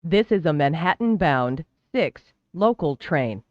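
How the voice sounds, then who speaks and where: A train announcer delivering scripted transit announcements in a formal, measured, clearly enunciated way. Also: medium pitch, expressive, no room sound, highs cut off. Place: recorded train public-address announcement.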